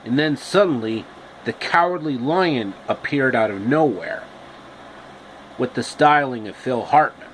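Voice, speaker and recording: A man reading a story aloud in an amateur, halting and deliberate way. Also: medium pitch, a bit bright, a little hiss or hum, poor audio. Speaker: storyteller, reader.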